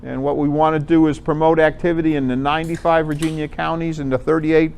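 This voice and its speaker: An American man giving an informal presentation, explaining things conversationally and pausing to rephrase. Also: on the high side, flat delivery, gravelly, a little mellow, slight background noise. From Connecticut, United States. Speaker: amateur radio contest organizer, presenter. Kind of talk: informal club presentation with slides.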